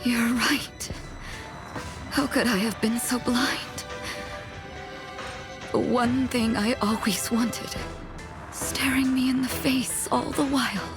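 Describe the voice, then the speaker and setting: An actress playing an elegant, regretful motherly villainess in a game cutscene, weakened and dying, speaking in a measured, wistful way. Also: on the high side, steady tone. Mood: weak, regretful. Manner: slow, wistful, measured delivery. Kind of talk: dramatic game cutscene acting. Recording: voice over loud background music.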